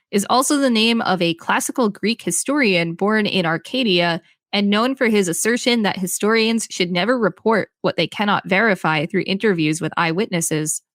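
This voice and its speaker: A woman's voice: medium pitch, no room sound, studio quality. A woman co-hosting a podcast, reading an article aloud in an upbeat way with some banter. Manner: upbeat, reads lists excitedly.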